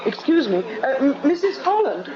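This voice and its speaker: An actress playing a brisk, bossy but polite cruise committee organiser in a radio comedy drama. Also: female voice, high voice, big pitch swings, a crowd in the background. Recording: dull radio recording, busy room behind.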